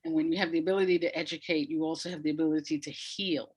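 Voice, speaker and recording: A woman with a low-set voice talks as a guest in an interview. She has the emphatic manner of an educator, restating phrases to drive them home, in a conversational flow. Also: fairly even intonation, rapid-fire, darkish tone, slightly rough.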